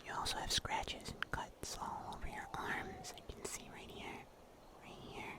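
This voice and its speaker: A man playing a wry, protective huntsman in a breathy, whispered ASMR roleplay. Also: close to an everyday voice. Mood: caring, reassuring. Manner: slow, hushed, gently teasing. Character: mysterious huntsman in dark woods, wry and protective.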